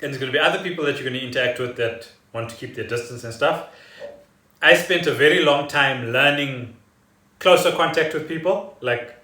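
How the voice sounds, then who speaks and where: A man's voice: mid-range voice, a little fast, sharp tone. A man chatting casually as a podcast co-host at home. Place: indoors at home. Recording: roomy, distant from the mic.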